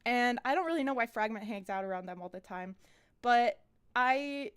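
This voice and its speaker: A woman with a fairly high voice hosts a live stream. She talks informally and rambles through commentary on her own animations. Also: animated, normal speed, smooth.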